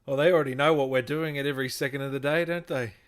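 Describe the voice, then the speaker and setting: A man's voice: mid-range voice, steady tone, very fast, clean tone. A man with an Australian accent hosting a casual interview in a friendly, appreciative manner. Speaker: host, interviewer.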